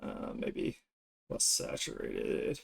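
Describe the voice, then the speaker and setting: A man chatting casually on a livestream as he works, thinking aloud and often trailing off mid-thought. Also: takes it slowly. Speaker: streamer, digital artist. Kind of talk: casual livestream chat while drawing.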